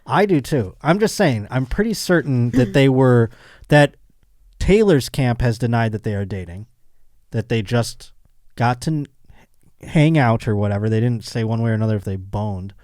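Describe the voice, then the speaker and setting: A man's voice: mid-range voice, expressive, normal speed, darkish tone, dry recording, clean studio sound. A man hosting a casual comedic podcast, rambling and joking as he speculates. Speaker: podcast host.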